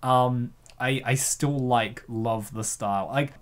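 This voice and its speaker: A man's voice: mid-range voice, slow pace. A man hosting a comic reading, giggling often and rambling with lots of fillers. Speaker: host reading a comic dub. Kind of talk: casual chat.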